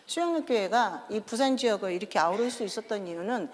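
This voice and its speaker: A woman in her fifties with a Chungcheong accent, a pastor's wife giving a joking, animated testimony in a large church. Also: medium pitch, quick pace, a little hiss or hum. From Daejeon, South Korea. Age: 50s. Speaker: pastor's wife, guest speaker. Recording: echoey church hall.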